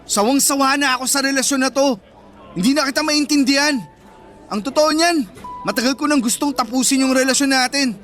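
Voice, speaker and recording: A man's voice: extremely high pitch, brisk, a bit bright. A man acting a fed-up but protective teenage boyfriend in a Filipino radio drama, emphatic and scolding. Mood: weary, resolute.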